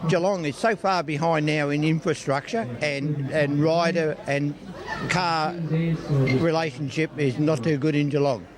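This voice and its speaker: A man's voice: fairly high pitch, fairly even intonation, measured pace, a crowd in the background. An older man with an Australian accent giving a casual interview in a blunt, colloquial, emphatic way. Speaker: cyclist being interviewed. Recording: loud music behind, outdoors.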